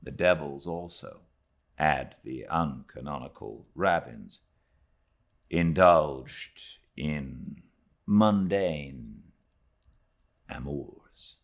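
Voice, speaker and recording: A British man narrating classic literature like an audiobook, with measured, dramatic phrasing and deliberate pauses. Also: extremely low pitch, lively intonation. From Britain.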